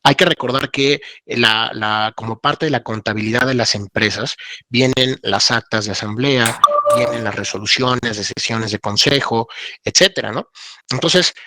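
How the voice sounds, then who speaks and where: Mexican guy on a webinar panel, bright forceful voice, dry close sound, explains stuff off the cuff. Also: mid-range voice, moderate pace, no echo. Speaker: legal expert panelist. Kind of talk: unscripted webinar discussion.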